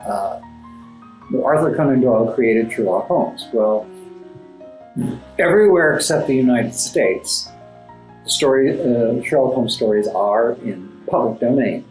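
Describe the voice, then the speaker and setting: An articulate man with a mid-pitched voice talks as an interview guest, choosing formal words and pausing often as he goes. The room adds clear echo, and classical music plays underneath. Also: animated, darkish tone. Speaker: guest playwright. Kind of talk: conversational interview answers. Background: classical background music.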